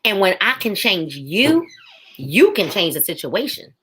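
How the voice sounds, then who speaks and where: A woman's voice: mid-range voice, very animated, unhurried, pushed and bright, slight background noise. A woman in her forties giving a faith testimony as a podcast guest, emphatic, with a preaching rhythm and repeated phrases. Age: 40s.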